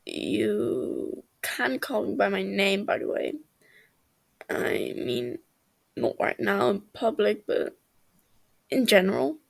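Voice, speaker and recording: A woman voicing a stern, big-brotherly male villain with a dry, calm manner in a story reading. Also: medium pitch, slow, creaky, pauses often, heavily acted. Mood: awkward, casual. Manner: dry, calm, hesitant when sincere.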